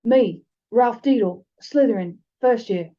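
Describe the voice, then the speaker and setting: A woman voicing a nervous young schoolboy in a storybook reading, anxious and halting. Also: fairly high pitch, highs cut off, close to an everyday voice. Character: nervous, fretful young schoolboy, easily winded.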